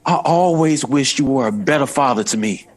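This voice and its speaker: A man's voice: mid-range voice, expressive, normal speed, lightly acted. A man playing a loyal, confrontational grown son standing up to his father in an audio drama. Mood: indignant, hurt.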